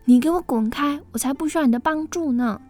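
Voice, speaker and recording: A woman voicing a proud, spoiled young woman who talks in a haughty, sharp and dismissive way in a storybook tale. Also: high-pitched, moderate pace, faint music behind, pitched above the performer's own voice, close to an everyday voice. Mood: scornful.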